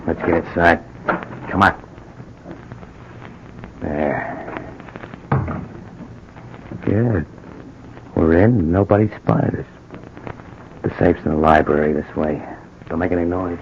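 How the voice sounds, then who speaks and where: Old radio crime play: low, tough-talking schemer, slangy, bullying, muffled vintage sound. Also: male voice, unhurried, pauses often. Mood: hushed, urgent. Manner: tough slangy wise-guy talk, bullying and coaxing. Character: pushy streetwise male stick-up crook, cynical schemer. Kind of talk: old-time radio drama acting. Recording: dull, noisy old radio broadcast recording.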